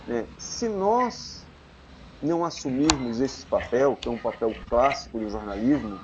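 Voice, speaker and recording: A man with a Brazilian accent giving an academic panel talk, explaining deliberately and often adding short tag questions. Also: medium pitch, expressive. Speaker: academic panelist, researcher and ethics lecturer. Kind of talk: academic panel presentation, extemporaneous. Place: remote online conference call. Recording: dull lo-fi online call, loud noise.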